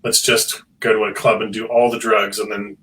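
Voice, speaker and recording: A man's voice: lowish voice, flat delivery, normal speed, fairly bright tone, far from the mic, poor audio. A man speaking as a panelist in an articulate, academic way, analysing as he chats.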